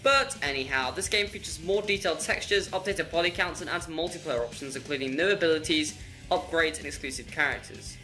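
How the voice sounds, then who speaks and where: A man's voice: on the high side, brisk, pushed and bright, faint music behind. A teenage male video host reading a scripted game review in a wry, tongue-in-cheek manner.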